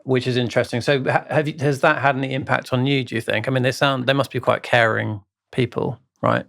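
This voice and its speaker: A man hosting a podcast, asking a designer about her childhood with gentle, probing questions. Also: medium pitch, fairly even intonation, brisk, vocal fry. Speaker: podcast host. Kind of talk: interviewing.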